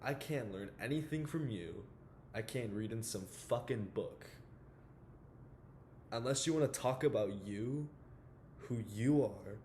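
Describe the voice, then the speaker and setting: A wounded older mentor played by a man delivers a dramatic monologue in a lowish voice. He is blunt and deliberate, leaving heavy pauses between pointed challenges, over a noisy, rumbling background. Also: warm tone. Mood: frank, challenging. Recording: noticeable background noise with low rumble.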